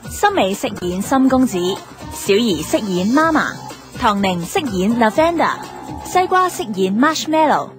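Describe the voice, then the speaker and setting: A woman's voice: fairly high pitch, very animated, unhurried, over music. A woman with a Hong Kong Cantonese accent reading scripted radio drama credits as an announcer.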